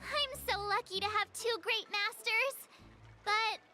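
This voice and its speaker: A woman voicing an eager, bubbly teenage girl apprentice in an anime game, earnest, polite and expressive, with music behind her. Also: extremely high pitch, slow pace. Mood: happy, curious. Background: game background music.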